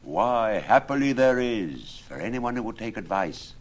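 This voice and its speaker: A man's voice: lowish voice, big pitch swings, measured pace, voice pushed up, exaggerated voice. A man voicing a sly young scholar who confides and warns portentously in a dramatised verse tale. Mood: persuasive. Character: sly, scheming young male scholar, mock-solemn.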